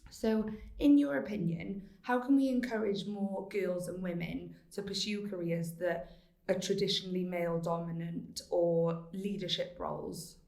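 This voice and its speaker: A woman's voice: on the low side, fairly even intonation, measured pace, creaky, a little mellow, roomy sound. A woman hosting a podcast, asking questions in a friendly, encouraging way. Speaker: podcast host, interviewer. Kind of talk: podcast interview.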